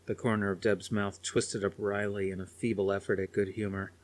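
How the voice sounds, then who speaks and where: A man narrates a novel in a fairly low voice. The delivery is scripted audiobook storytelling, reading prose descriptions. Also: flat delivery, fairly quick.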